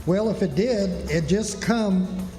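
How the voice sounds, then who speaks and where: A man's voice: high voice, slow, mellow. A man playing a puzzled old farmer in a radio play, blunt and folksy. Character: puzzled old farmer uncle, plain-spoken. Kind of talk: stage radio drama acting. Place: live stage in a hall. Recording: echoey hall with faint music.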